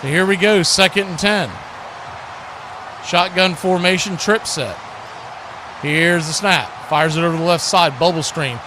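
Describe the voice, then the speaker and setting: High-pitched man calling football play-by-play. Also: unhurried, long pauses. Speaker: play-by-play announcer. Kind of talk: live football play-by-play commentary. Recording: clear background noise.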